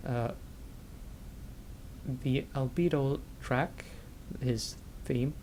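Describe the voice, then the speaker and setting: A man commentates casually on a video game at a slow pace, in halting fragments as he thinks aloud. His pitch is average, and a faint rumble runs behind him. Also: fairly even intonation, a little mellow. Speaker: let's play commentator.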